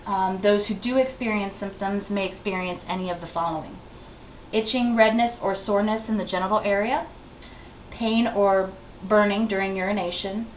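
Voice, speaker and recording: A woman giving an informal, plainly instructive health presentation as a clinic educator, repeating key advice. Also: mid-range voice. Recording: roomy echo, faint background noise.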